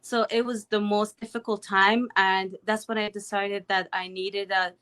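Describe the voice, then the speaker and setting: A woman's voice: mid-range voice, little pitch movement, normal speed, fairly bright tone, clean tone. A woman in her thirties from Afghanistan, talking earnestly as an interview guest over a video call, with many "you know"s and "right"s. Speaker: guest, accountant and online business owner. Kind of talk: casual interview talk. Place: home in Calgary.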